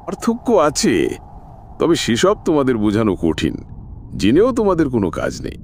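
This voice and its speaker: A man voicing a genial older village tantric in a cartoon, expressive, conversational and teasing. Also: mid-range voice, normal speed, a little hiss or hum. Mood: reflective. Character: genial older male village tantric storyteller, brisk father.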